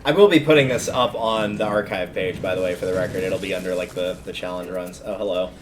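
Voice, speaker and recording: Low-voiced man casually commentating a game. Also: fairly even intonation, fast, background noise. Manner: rambling, thinking aloud. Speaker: livestreamer playing a video game. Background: video game music.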